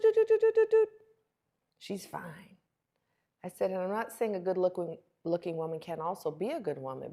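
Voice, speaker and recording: Low-voiced woman explaining with emphasis. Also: gravelly, mellow. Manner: emphatic, rhetorical questions, acts out quoted remarks. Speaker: guest relationship expert and author. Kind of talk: animated interview talk, teaching with role-played questions.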